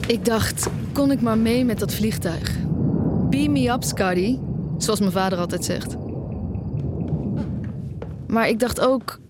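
A woman's voice: on the high side, takes it slowly, pauses often, heavy background noise. A young woman playing a wry, lovesick music student, confiding informally in an audio drama. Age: young adult. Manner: confessional, informal, self-mocking. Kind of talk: audio drama narration and dialogue.

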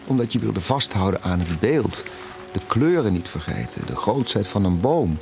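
A man acting as a caring, confessional middle-aged father in an audio drama, speaking intimately and haltingly. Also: fairly low pitch, very animated, gravelly, a little mellow, soft background music. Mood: gentle, reflective. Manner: halting, repeats words when emotional.

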